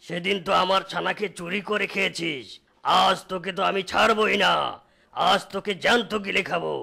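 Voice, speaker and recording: A super gravelly angry cartoon dinosaur, sharp and threatening. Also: male voice, high voice, fairly even intonation, moderate pace, full character voice. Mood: menacing. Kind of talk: cartoon character acting.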